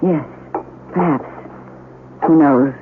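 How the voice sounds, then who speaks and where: A woman's voice: low-pitched, slow pace. An actress playing a gracious, curious widowed lady of the house in a 1970s radio drama, speaking in a refined, formal way. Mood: playful, probing. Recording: tinny vintage radio recording, background noise.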